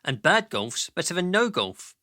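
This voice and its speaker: An actor playing a brash, crude pharmaceutical boss with a breezy, domineering manner in a radio drama. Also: male voice, high-pitched, very animated, normal speed, pushed and bright, no echo, clean studio sound, exaggerated voice.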